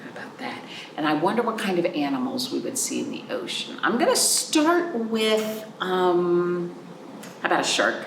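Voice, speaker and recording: A woman hosting a children's storytime, reading aloud and chatting in a sing-song, animated way, addressing young listeners directly. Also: fairly low pitch, normal speed, creaky. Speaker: library storytime host. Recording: roomy, distant mic, faint background noise.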